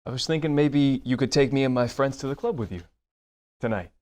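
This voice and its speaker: A man's voice: medium pitch, fairly quick, a little mellow, close to an everyday voice. A man playing a brash, eager young nephew, slangy and casual, in a screenplay table read.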